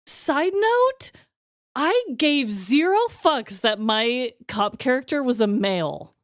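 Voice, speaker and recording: Animated, higher-voiced woman in casual podcast chat. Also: takes it slowly, no room sound, clean studio sound. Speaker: podcast co-host and character performer.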